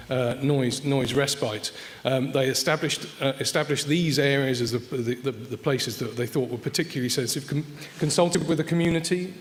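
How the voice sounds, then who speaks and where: A man giving a conference presentation in a hesitant way, often repeating and restarting his words. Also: medium pitch. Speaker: conference speaker from an air traffic control organisation. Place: conference hall. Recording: echoey conference hall, long reverb.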